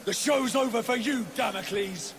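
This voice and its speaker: An actor playing a vain, treacherous young Roman prince in a video game, mocking, smug and theatrical. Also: male voice, extremely high pitch, moderate pace, loud music behind the voice. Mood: taunting. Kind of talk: cinematic video game acting.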